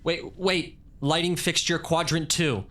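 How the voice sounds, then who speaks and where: A man's voice: fairly high pitch, steady tone, measured pace, background noise, natural voice. A man playing a nervous studio producer in a scripted thriller, hesitant and anxious as he reports from the board. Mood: uneasy. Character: nervous, rattled male studio tech sidekick. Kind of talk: scripted audio thriller acting.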